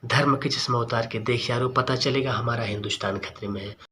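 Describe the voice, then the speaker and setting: A man's voice: medium pitch, fairly even intonation, a little fast, bright, forceful tone, a little hiss or hum. A man giving an earnest opinion monologue to viewers as a vlogger, speaking emphatically and repeating key words and phrases.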